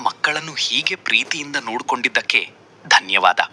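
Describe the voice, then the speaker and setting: A man's voice: medium pitch, lively intonation, a little fast, pushed and bright. A man playing a devoted, earnest village husband and father in a moral story. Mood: sincere.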